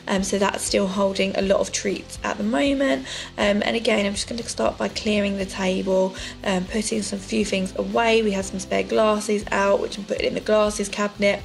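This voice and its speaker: Woman, thirties, casual voice-over, gravelly fry, lots of ums, soft music behind. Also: medium pitch, fairly even intonation, moderate pace, poor audio. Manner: chatty, many ums. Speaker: vlogger. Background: soft background music.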